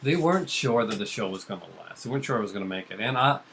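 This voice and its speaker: Male co-host, average pitch, earnest and emphatic in relaxed debate, faint background noise. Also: rapid-fire. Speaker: co-host of a comics and TV talk show. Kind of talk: casual opinionated discussion.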